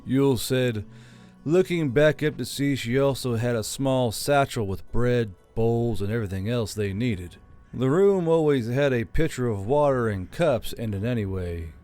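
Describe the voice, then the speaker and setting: A man with a mid-pitched voice narrates a fantasy novel in audiobook style. Faint music plays behind his scripted reading. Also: moderate pace, dark tone. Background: background music.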